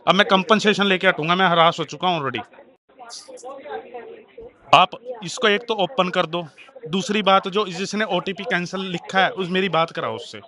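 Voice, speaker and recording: A man's voice: sits high, normal speed, pushed and bright. A man making a heated phone complaint, arguing insistently and repeating his demands.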